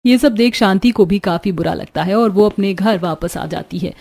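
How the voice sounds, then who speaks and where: A woman's voice: mid-range voice, normal speed, gravelly, a little mellow. A woman reading scripted narration for a cartoon story. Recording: music behind the voice. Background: background music.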